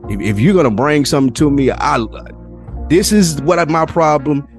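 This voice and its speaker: A man co-hosting a podcast, talking candidly and with profanity in a relaxed chat, often acting out what other people say. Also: a little high, normal speed, faint music behind. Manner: candid, animated, profane, acts out quoted voices. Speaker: podcast co-host. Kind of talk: casual conversation.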